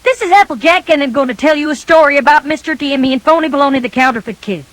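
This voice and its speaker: A woman reading a scripted children's story in a folksy, animated storybook manner. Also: high voice, rapid-fire, dry recording.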